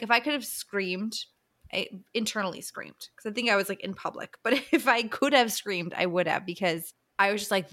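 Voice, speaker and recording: A woman's voice: mid-range voice, a bit bright. A woman co-hosting a podcast, chatting casually in a playful way with dramatic reactions. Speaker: podcast co-host. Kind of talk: casual chat about books.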